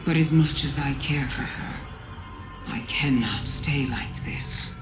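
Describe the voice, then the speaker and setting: An ancient alien witch queen from a game, wistful and quietly scheming. She speaks slowly and insinuatingly, her words echoing over prominent music. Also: a bass voice. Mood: wistful, resigned. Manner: slow, reflective, softly insinuating. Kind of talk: dramatic video game monologue.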